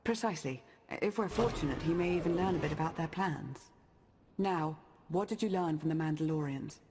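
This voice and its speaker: A woman playing a cautious, commanding strategist in a video game, speaking with measured, precise authority. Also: low voice, noisy background. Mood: focused, brisk. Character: cautious, commanding female strategist, brisk and composed. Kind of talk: video game cutscene acting.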